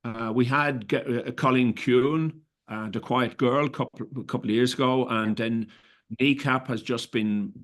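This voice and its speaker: A man's voice: medium pitch, fairly even intonation, a little slow, fairly bright tone. A middle-aged Irish man, a festival director, answering interview questions in a hesitant way, with many fillers and restarts. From Ireland.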